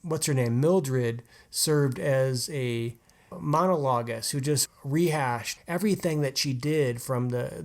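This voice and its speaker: A man co-hosting a podcast, talking about a novel in a casual, earnest and analytical way. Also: medium pitch. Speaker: podcast co-host. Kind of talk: casual book discussion.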